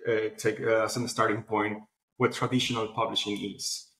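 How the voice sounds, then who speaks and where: A man's voice: lowish voice, flat delivery, moderate pace, a bit bright. A man speaking as an interview guest, casually telling his story in loose, conversational phrasing, recorded with roomy echo. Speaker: interview guest, platform founder. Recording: roomy, echoing voice pickup.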